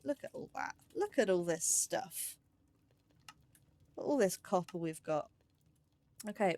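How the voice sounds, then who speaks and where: Female let's play host: unhurried delivery, medium pitch, casual thinking-aloud commentary with playful turns of phrase. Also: darkish tone. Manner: chatty thinking aloud, playful cutesy wording.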